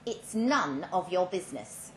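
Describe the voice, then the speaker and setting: A woman voicing a brisk, controlled female supervisor in a live story reading, businesslike and coolly matter-of-fact. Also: on the low side, very animated. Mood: curt. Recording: roomy live venue, background noise.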